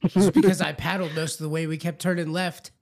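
Man, comedy co-host, plays it straight and dry, a touch higher-pitched. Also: steady tone, fairly quick, creaky, a little mellow. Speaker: podcast co-host. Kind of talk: improvised comedy sketch.

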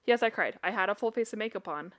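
A blunt woman co-hosting a casual podcast, who swears freely and laughs as she talks. Her voice sits at an ordinary pitch for a woman. She speaks with the frankness of a therapist chatting with a friend. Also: lively intonation, fast talker, fairly bright tone. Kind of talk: casual chat.

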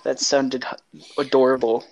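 A man's voice: medium pitch, flat delivery, normal speed, darkish tone, slight background noise, poor audio. A young man co-hosting a podcast, telling personal stories in a hesitant, rambling way. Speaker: podcast co-host. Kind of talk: casual chat, personal storytelling.

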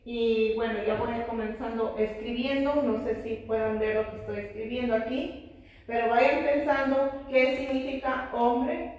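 Slow-speaking woman preacher in an echoey hall. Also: a little high, steady tone, a little mellow. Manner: slow, prayerful, sprinkles amens and hallelujahs. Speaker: preacher teaching a Bible study. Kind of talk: church Bible study sermon with prayer. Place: church hall. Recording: very echoey room, voice far from mic.

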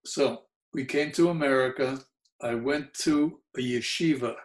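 An older man in his seventies with a New York accent, Yiddish being his first language. He speaks slowly and mellowly at a middle pitch, pausing often with fillers as he looks back on his youth. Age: 70s. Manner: mellow, unhurried, halting with frequent fillers. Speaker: interviewee. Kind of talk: oral history recollection.

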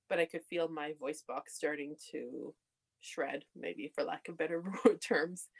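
Candid storytelling woman, low voice. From Canada. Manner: candid storytelling, laughs often. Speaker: podcast guest. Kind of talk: casual conversation.

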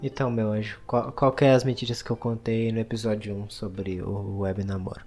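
A man's voice: fairly low pitch, little pitch movement, brisk, darkish tone. A man hosting a casual couple podcast while he has a cold, teasing and laughing often. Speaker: podcast host. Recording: laptop mic, faint music.

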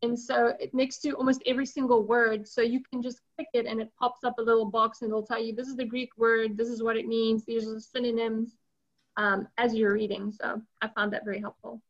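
A woman with a high but very flat voice chats informally in a class discussion. The sound is muffled and lo-fi. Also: brisk. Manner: giggly, informal. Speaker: class participant.

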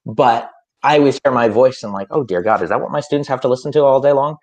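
A man's voice: mid-range voice, fast talker, darkish tone. A man who teaches music, chatting casually on a podcast with a slightly nasal voice and a hesitant, rambling way of talking full of fillers and restarts.

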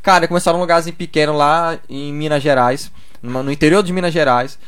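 Fast-talking young man. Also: fairly high pitch, fairly even intonation, a bit bright, clear, resonant tone. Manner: slangy, loose storytelling peppered with "cara". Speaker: podcast guest. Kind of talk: casual chat.

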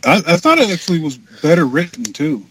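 A man's voice: fairly high pitch, very animated, a little fast, fairly bright tone. A man chatting casually and wryly as a panelist in a group podcast. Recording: faint background noise, clicks.

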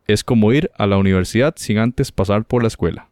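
Lowish-voiced male host, dry sound. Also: a little fast, darkish tone, no room sound. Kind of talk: conversational talk show, reading out quotes.

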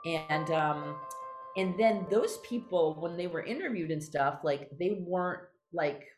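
A woman's voice: lowish voice, measured pace, reverberant. A woman playwright being interviewed, talking candidly and animatedly and restarting her sentences often. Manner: animated, acts out quoted voices, many restarts. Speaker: playwright guest.

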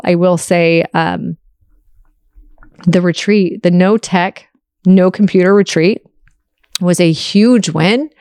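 Woman, early 40s, solo podcast host. Lowish voice, candid and confessional, casual delivery, dry recording. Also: creaky, darkish tone, no echo. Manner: confessional, reflective, open. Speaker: podcast host answering listener questions.

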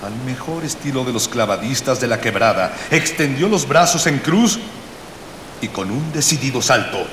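A man's voice: medium pitch, animated, moderate pace, fairly bright tone. A man narrating a scripted radio serial in a florid, old-fashioned storytelling manner. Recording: echoey long reverb, clear hiss.

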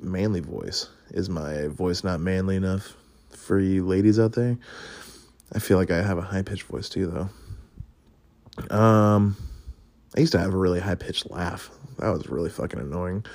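A man's voice: low voice, darkish tone, no echo. A man hosting a podcast, chatting casually through would-you-rather questions, rambling and swearing with frequent laughs. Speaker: podcast host.

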